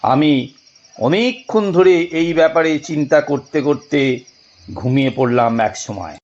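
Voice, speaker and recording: A man narrating a detective story in the first person, theatrical and suspenseful, with deliberate phrasing. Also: on the high side, darkish tone, muffled recording.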